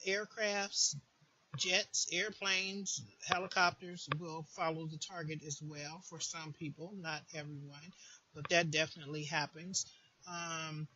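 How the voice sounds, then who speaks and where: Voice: medium pitch, pushed and bright, dull audio. A person giving an informal, earnest advice monologue, repeating phrases for emphasis, as a support group host would.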